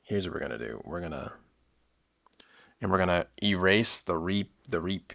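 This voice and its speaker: A man chatting casually on a livestream while he works, thinking aloud in a halting, rambling way with repeated phrases. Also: low voice, expressive, vocal fry, a bit bright. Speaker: livestream host and audio drama producer.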